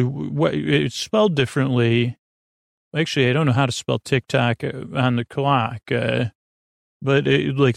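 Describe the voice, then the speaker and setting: Gravelly, meandering man hosting a sleep podcast. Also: medium pitch, no echo, studio quality. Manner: meandering, halting, self-correcting; soft, unhurried and drowsy. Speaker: podcast host. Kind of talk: rambling bedtime podcast monologue.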